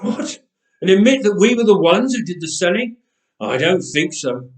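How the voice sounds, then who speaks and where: A man's voice: sits high, very animated, normal speed, far from the mic. Man reading as smug, callous son, echoey church. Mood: smug, sardonic. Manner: sardonic, offhand. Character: scheming, callous grown son, smug and dismissive.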